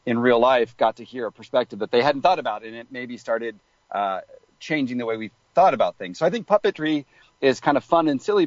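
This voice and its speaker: A man's voice: mid-range voice, no echo, dull audio. A man answering as a podcast guest, reflective and hesitant, with many fillers as he gathers his thoughts.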